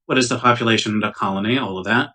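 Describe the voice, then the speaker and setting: Man playing a bright-voiced, businesslike ship's medical officer. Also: lowish voice, very fast. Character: businesslike, assertive starship medical officer and parent.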